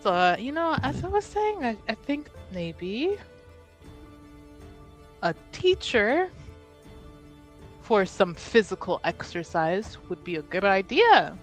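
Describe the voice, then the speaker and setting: A woman chatting casually as a player in a tabletop roleplaying game, giggly and animated. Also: mid-range voice, takes it slowly, long pauses, faint music behind. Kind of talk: casual improvised roleplay chat.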